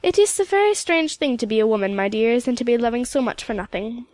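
Woman voicing a proud old storytelling woman, lightly high. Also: sing-song, fast, darkish tone, no echo. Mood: sorrowful, resigned. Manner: lilting old-country phrasing, repeated "oh yes". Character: elderly woman, composed, proud, sorrowful storyteller. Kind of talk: audiobook character dialogue.

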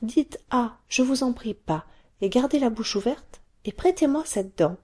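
A woman voicing an excited, curious little cave girl who pleads and chatters. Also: fairly high pitch, unhurried, husky, voice pushed up. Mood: eager.

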